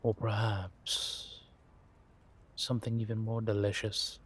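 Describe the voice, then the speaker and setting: A man playing a sly, scheming vampire spy in an audio roleplay, husky and theatrical, savoring his words in drawn-out musings. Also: lowish voice, little pitch movement. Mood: calculating, intrigued. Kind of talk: scripted audio roleplay monologue addressed to a companion.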